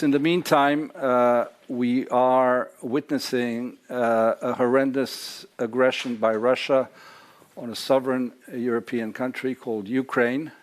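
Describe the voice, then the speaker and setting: A man hosting a conference panel, introducing speakers with many hesitant pauses. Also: medium pitch. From Serbia. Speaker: conference host. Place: lecture hall or library.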